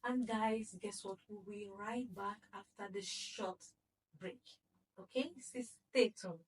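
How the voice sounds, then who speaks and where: A woman's voice: mid-range voice, fairly even intonation, slow pace, far from the mic, slight background noise, poor audio. A woman hosting a podcast interview, chatty and talking straight to her audience. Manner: chatty, addresses audience as guys. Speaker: podcast host. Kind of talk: casual interview.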